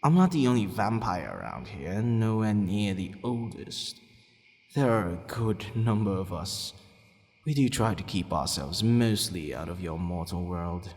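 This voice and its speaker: A man playing a centuries-old, courteous but possessive vampire in an ASMR roleplay, deliberate and hesitant, sometimes murmuring almost to a whisper. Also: sits low, sing-song, a little mellow, exaggerated voice. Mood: calm, mysterious. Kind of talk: ASMR roleplay addressed to the listener. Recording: long reverberant hall echo.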